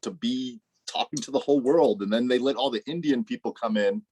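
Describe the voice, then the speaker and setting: A man in a casual group discussion, telling animated, jokey stories and laughing often. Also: medium pitch, a little fast, breathy edge, poor audio.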